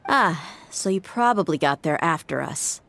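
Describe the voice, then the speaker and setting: A woman playing a tough but protective female desert mercenary in a video game, speaking in a frank, measured, reflective way. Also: lowish voice, animated, vocal fry, fairly bright tone, full character voice. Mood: lighter, determined. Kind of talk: dramatic video game voice acting. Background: music.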